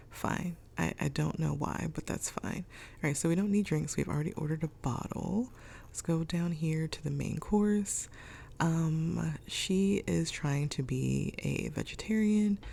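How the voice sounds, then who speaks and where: A young woman with a raspy voice from a cold, chatting her way through a life-sim game with lots of ums. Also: sits low, mellow. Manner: chatty, thinking aloud with many ums. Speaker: gaming YouTuber. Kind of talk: casual let's-play commentary. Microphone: desk microphone.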